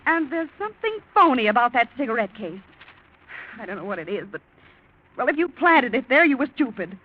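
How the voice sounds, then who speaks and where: A woman's voice: on the high side, very animated, a little fast. An actress playing a spunky young American woman, defiant and emphatic, in 1940s radio style. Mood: scornful, accusing. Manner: emphatic, scolding. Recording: muffled 1940s radio recording.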